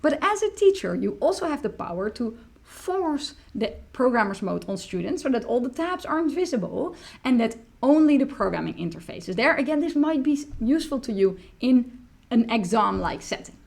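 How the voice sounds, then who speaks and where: A woman in her thirties, a native Dutch speaker, explaining a software tool step by step in a screen-recorded tutorial from a small room. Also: on the high side, sing-song, normal speed, gravelly. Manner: explanatory, walks through clicks step by step. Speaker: teacher tool demonstrator.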